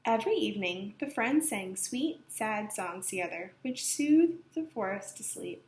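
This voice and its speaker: A woman narrates a picture book for children in a lively, swooping voice of medium pitch. She leans on words for dramatic effect. The room is echoey, with slight noise behind her. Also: unhurried. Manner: expressive, dramatic emphasis for children. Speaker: storybook reader. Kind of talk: animated children's read-aloud narration.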